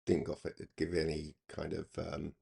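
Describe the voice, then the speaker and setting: Deep, gravelly older man. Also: completely flat delivery, warm tone. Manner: halting, rambling, reflective. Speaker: hobby radio channel presenter. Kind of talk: casual reminiscing monologue.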